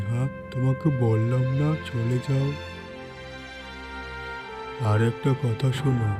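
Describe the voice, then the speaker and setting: A man's voice: mid-range voice, lightly acted. A man voicing a harsh, greedy village landlord in a cartoon, scolding with stern scorn.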